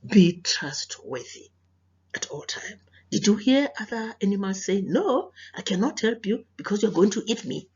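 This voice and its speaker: Husky South African woman, slow lively storyteller. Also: lowish voice, dull audio. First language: Sepedi. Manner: didactic, repeats phrases, questions listeners. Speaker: storyteller and teacher reading aloud to children. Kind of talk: children's read-aloud with moral lesson.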